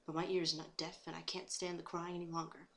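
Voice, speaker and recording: A brooding cartoon pony vigilante, acted by a woman, speaks solemnly and dramatically in a low voice. There is faint noise on the home recording. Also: level tone, fast, pushed and bright. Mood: brooding, resolute.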